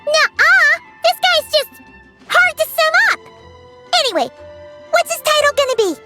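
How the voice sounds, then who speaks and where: A woman voicing a tiny, cheeky and excitable female companion creature in a game who talks about herself in the third person. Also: very high-pitched, measured pace, faint music behind, heavily acted. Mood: playful. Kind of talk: game cutscene voice acting.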